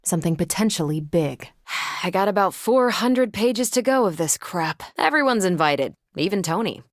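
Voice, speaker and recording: A woman's voice: medium pitch, lively intonation, moderate pace, no echo, close to an everyday voice. A woman voicing a confident, wry superheroine soldier in a video game, with a dry, assured manner. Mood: wry, conversational.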